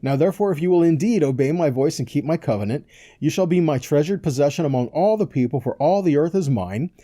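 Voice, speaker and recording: A man's voice: a little high, expressive, quick pace, warm tone. A man giving an informal, earnest sermon, reading scripture and urging listeners on with short check-in questions. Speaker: preacher, Bible teacher.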